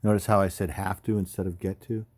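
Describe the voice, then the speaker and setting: Casting: American male, 50s, lowish voice, informal rambling veteran commentary, close dry recording. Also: little pitch movement, quick pace, darkish tone, no room sound. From California, United States. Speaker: army veteran, former paratrooper and combat medic. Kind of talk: casual off-the-cuff commentary over photos.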